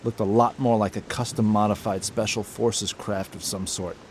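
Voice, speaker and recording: A man's voice: fairly low pitch, fairly even intonation, normal speed, slight background noise, close to an everyday voice. An actor playing a weary, pessimistic sub crewman with grim, dry sarcasm, in audio drama style. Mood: grim, worried. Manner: sardonic, grim.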